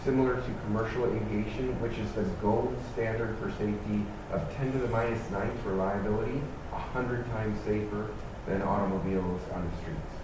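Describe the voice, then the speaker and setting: A man on a panel explaining his points in a measured way. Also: lowish voice, steady tone, distant mic. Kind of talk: panel discussion. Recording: echoey hall from afar, noisy.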